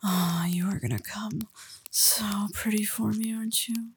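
A woman speaking in a soft, breathy whisper for an intimate audio roleplay, murmuring slowly and coaxingly close to the listener. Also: fairly even intonation. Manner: low murmured coaxing with sighs.

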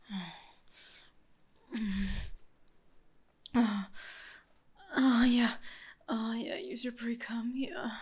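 Slow breathy whispering woman. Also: fairly high pitch. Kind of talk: intimate audio roleplay addressed to the listener.

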